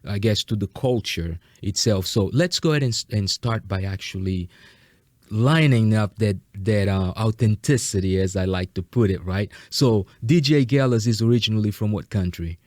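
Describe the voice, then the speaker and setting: A man's voice: fairly low pitch, normal speed, darkish tone, dry recording, studio quality. A man hosting a conversational interview, wordy and rephrasing his questions as he goes. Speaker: interviewer, host.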